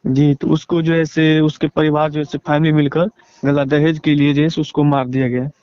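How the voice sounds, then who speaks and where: A man with a Bihari accent giving a halting, deferential account in a phone interview, leaning on repeated filler phrases. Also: on the high side, flat delivery, darkish tone, smooth, no room sound. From Bihar, India. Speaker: interviewee, relative seeking justice. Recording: dull lo-fi phone call.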